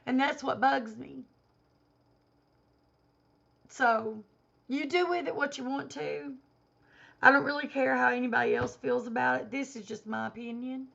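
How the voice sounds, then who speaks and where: A woman vlogger giving an indignant opinion rant to camera, hoarse because she has a cold and is losing her voice. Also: high voice, a little slow, long pauses, fairly bright tone, highs cut off. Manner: indignant, emphatic.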